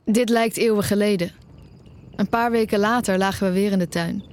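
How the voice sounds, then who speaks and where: A woman's voice: medium pitch, steady tone, moderate pace, a little mellow, slight background noise, close to an everyday voice. A young woman playing a wry, lovesick music student, confiding informally in an audio drama. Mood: wistful reflection. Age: young adult. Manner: confessional, informal, self-mocking. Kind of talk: audio drama narration and dialogue.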